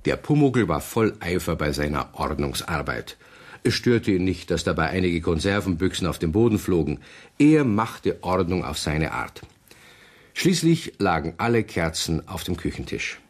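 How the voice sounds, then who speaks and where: Male narrator for a children's radio play: deep, raspy tone, animated storytelling delivery. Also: a little slow.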